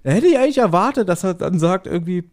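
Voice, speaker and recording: A man hosting a podcast, casually retelling a story in an animated way and acting out short quotes. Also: high-pitched, quick pace. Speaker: podcast host. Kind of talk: casual chatty episode recap.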